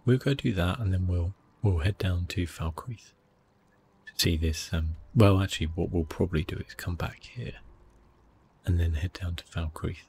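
A man giving relaxed ASMR gameplay commentary, thinking aloud with hesitant phrasing. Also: low voice, normal speed, a little hiss or hum. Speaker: gaming video host. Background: soft game music.

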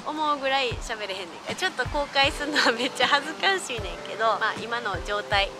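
A woman's voice: on the high side, animated, rapid-fire. A young woman with a Kansai accent, chatting animatedly to camera for her vlog while outdoors in a park. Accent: Kansai Japanese. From Japan. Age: young adult. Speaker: vlogger. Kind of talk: casual vlog talk to camera. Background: background music.

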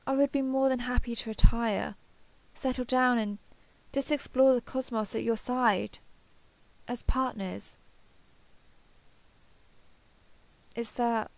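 A woman playing an earnest, affectionate starship captain in an intimate sci-fi audio roleplay, speaking haltingly and confessionally. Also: on the high side, dry recording. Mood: hopeful, tender. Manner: hesitant, halting, apologetic, confessional.

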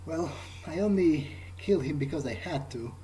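A man improvising in-character dialogue as a gamer, in a hesitant, low-key way. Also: animated, moderate pace, warm tone, slight background noise, poor audio.

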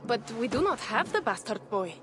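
An actor playing a blunt, wry Viking jarl eager for a raid. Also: male voice, very high-pitched, very animated, moderate pace, fairly bright tone, slight background noise, full character voice.

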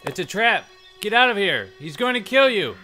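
A man's voice: high-pitched, very animated, normal speed, sharp tone, faint music behind, heavily acted. A man playing a desperate young prisoner, a gang leader's son, in a radio play. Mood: panicked.

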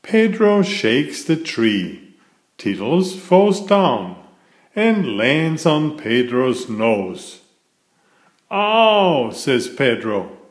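A man reading a picture book aloud to young children in a deliberate, emphatic storytelling style. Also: mid-range voice, very animated, reverberant.

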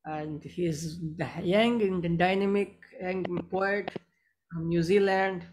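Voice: mid-range voice, steady tone, takes it slowly, darkish tone. A host running a live online poetry gathering, speaking politely and hesitantly and often repeating words and phrases. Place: online video call.

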